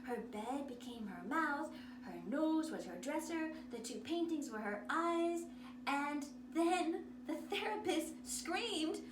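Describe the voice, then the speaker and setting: A woman with a high voice reads a picture book aloud like a drama teacher, expressive and unhurried. She is heard a little away from the mic in a roomy space. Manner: theatrical, expressive. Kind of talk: animated children's storytelling. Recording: roomy, distant mic, noisy background.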